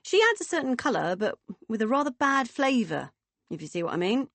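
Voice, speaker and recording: A woman voicing a hearty, jolly middle-aged woman in an audiobook, with a booming, brisk manner. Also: sing-song, no echo. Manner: booming, brisk, jolly posh turns of phrase.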